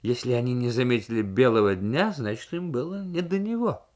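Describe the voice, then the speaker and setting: A man voicing a witty, probing diplomat in a radio novel reading, arguing with deliberate irony. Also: mid-range voice, darkish tone, lightly acted. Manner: argumentative, ironic, deliberate. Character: witty, probing male Soviet diplomat in debate.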